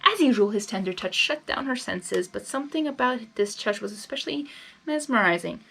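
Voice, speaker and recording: A woman's voice: on the high side, animated, a little fast, gravelly, a bit bright. A woman reading a story expressively, dropping in casual asides as she goes. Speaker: fan fiction reader.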